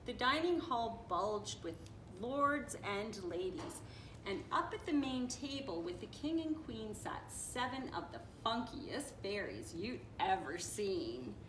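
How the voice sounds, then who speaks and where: A woman reading a children's story aloud in an expressive, playful way, with casual asides. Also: medium pitch. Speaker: storybook reader. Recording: echoey room with faint noise.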